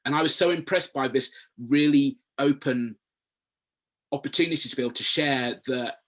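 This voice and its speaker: A man's voice: mid-range voice, normal speed, fairly bright tone. A man moderating an online panel over a video call, chatting in a friendly, rambling way and restarting his sentences. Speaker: webinar moderator. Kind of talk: casual panel chat.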